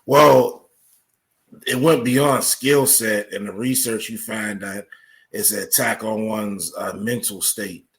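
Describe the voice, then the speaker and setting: A man with an American accent speaking as a researcher guest in a podcast interview, explaining things in a measured way with examples. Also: fairly low pitch, fairly even intonation, fairly bright tone.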